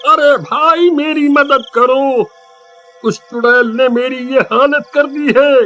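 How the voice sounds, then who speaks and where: A man's voice: extremely high pitch, steady tone, takes it slowly, bright, forceful tone, lo-fi sound, soft background music, full character voice. A man playing a helpless, pleading village husband in a cartoon horror story. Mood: pleading, desperate. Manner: pleading, wailing.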